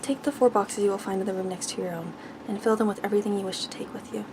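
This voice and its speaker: A woman voicing a gentle, lovesick male beast in a storybook, softly and with doleful earnestness. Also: medium pitch, fairly even intonation, rapid-fire, noisy background, lightly acted. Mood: resigned, solemn.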